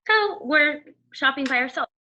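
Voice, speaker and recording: A high, bright awkward teen girl. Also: expressive, moderate pace, dull audio, a little hiss or hum. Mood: exasperated. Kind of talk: table read.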